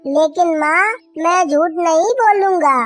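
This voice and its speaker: A polite, earnest little boy bird from a kids' cartoon, voiced by a woman. The voice is extremely high and unhurried, recorded dry with music underneath. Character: honest, hardworking young boy bird, polite son. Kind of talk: Hindi children's cartoon dialogue.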